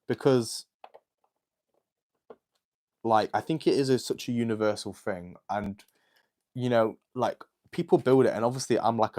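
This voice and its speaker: Chatty young man, lowish voice. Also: darkish tone. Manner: chatty and rambling, with many "like" and "um" fillers. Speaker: YouTuber hosting a livestream. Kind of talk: casual livestream chat, answering viewer comments.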